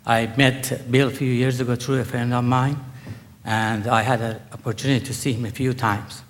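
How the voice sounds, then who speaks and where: A man's voice: medium pitch, little pitch movement, normal speed. A man from Iran with an Iranian accent, giving an informal, anecdotal tribute at a memorial. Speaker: friend speaking at a memorial. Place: memorial gathering at an art center.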